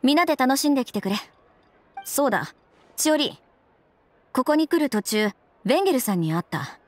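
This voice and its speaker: A woman's voice: sits high, big pitch swings, normal speed, dry recording. A woman playing a stern, dutiful young police captain in Japanese game acting, speaking formally, curtly and with authority. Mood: calm, friendly. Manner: formal, curt, authoritative, masculine wording. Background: background music.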